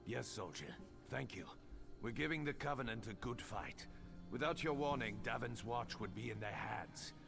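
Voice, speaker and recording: A man playing a resolute, gravelly-voiced soldier in command in a fantasy video game. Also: medium pitch, expressive, normal speed, music in the background. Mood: grateful, resolute. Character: gravelly male soldier, grateful war commander.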